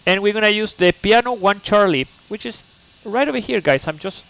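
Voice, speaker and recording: A man's voice: high voice, lively intonation, a little fast, vocal fry, fairly bright tone, clean tone, rough recording. A man from Chile, speaking English, streams a flight sim live. He explains each step patiently, checks in with "okay?", and chats with viewers. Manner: chatty asides to viewers.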